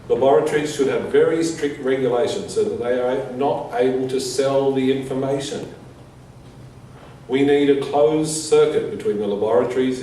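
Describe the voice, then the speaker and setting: A man reading a prepared statement aloud as a conference speaker, in measured, deliberate short phrases. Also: mid-range voice, mellow. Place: reverberant conference room. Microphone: distant from the speaker. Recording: echoey room, distant mic, faint noise.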